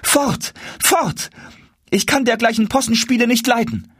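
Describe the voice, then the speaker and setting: An indignant storybook market woman, acted out by a male reader, pitched high and scolding. She is scornful and exclaims her rebukes in bitter, angry bursts. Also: unhurried, fairly bright tone, husky, no room sound, studio quality, full character voice. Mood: horrified, repelling. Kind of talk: storybook character voice in a fairy tale reading.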